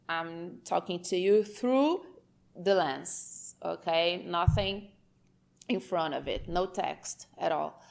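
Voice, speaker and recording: A Brazilian woman talks straight to the camera in English, her second language, with a Brazilian Portuguese accent. She is unhurried and middling in pitch. Her talk is frank and a little rambling, and she keeps checking in with the listener. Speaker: channel host.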